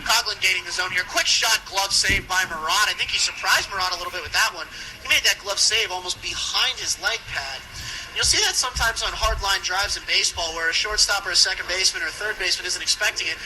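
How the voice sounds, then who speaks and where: Male radio hockey caller, high register, brisk live delivery, noisy rink broadcast. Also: sharp tone. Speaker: ice hockey play-by-play commentator. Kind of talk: live radio sports commentary.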